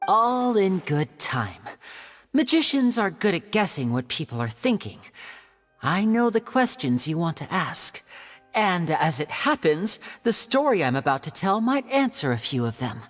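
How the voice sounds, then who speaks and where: A poised young stage magician, measured and theatrical with a lively rise and fall in her voice. Soft music and a long reverb sit behind her. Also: fairly low pitch. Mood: storytelling. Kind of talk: video game voice acting. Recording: game audio with reverb and music.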